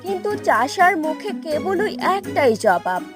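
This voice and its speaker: A woman narrates a cartoon folk tale in storybook fashion. Her voice is high and very expressive, with music playing clearly behind it. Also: unhurried.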